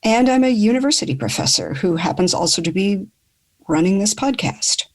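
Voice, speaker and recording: A woman in her sixties hosting a podcast and moderating a group discussion in a measured, articulate way. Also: mid-range voice, animated. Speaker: podcast host.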